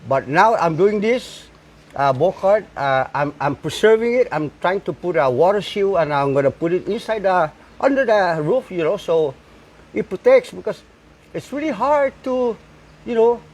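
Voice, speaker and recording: Man, 60s, slightly high pitch, halting speech, many fillers. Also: lively intonation. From Saipan, Northern Mariana Islands. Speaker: bull cart maker and farmer. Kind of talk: casual interview talk. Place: outdoors at his home.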